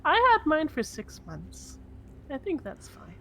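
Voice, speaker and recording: A woman's voice: sits high, huge pitch swings, unhurried, fairly bright tone, slight background noise, exaggerated voice. A woman playing a chatty, cheerfully violent criminal in improvised game roleplay, rambling and repeating herself. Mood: chatty, blithe. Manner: rambling, repeats yeah and you know.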